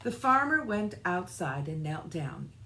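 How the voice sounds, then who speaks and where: A woman reading a children's storybook aloud with expressive, deliberate phrasing. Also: on the low side, slight background noise. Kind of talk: scripted children's storybook narration.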